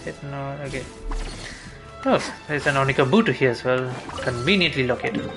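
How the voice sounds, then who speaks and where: A man giving casual, unhurried gameplay commentary, thinking aloud as he goes. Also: mid-range voice. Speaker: game streamer. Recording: game music behind voice. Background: video game music.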